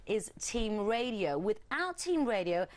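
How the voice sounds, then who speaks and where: A woman's voice: medium pitch, very animated, a little slow. Woman presenting a motorsport show. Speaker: studio presenter. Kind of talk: scripted broadcast presenting.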